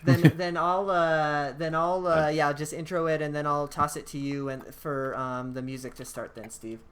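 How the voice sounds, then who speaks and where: A man with a high voice hosting a casual podcast livestream, loose and laughing, swearing now and then. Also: fairly even intonation, normal speed, a crowd in the background. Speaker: podcast host.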